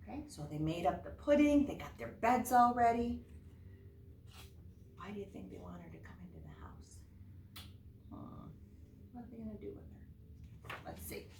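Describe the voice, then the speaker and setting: A woman's voice: medium pitch, big pitch swings, moderate pace, gravelly, long pauses, a little mellow. Woman teacher, roomy distant recording. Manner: simple explanations with frequent questions to the listener. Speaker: teacher of young children. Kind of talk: early-grade lesson and read-aloud to children. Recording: roomy, distant mic, faint noise.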